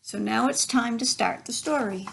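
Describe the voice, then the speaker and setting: A woman's voice: on the high side, moderate pace, vocal fry, fairly bright tone, a little hiss or hum. A woman reading a picture book aloud to children as a library story reader, instructive and given to asides to her listeners.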